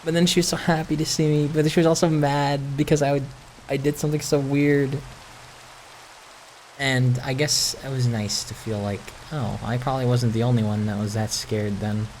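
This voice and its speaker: A young man rambling casually and candidly in personal stories over gameplay, introspective, halting and questioning himself. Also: medium pitch, fairly even intonation, darkish tone, slight background noise. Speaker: gaming video maker.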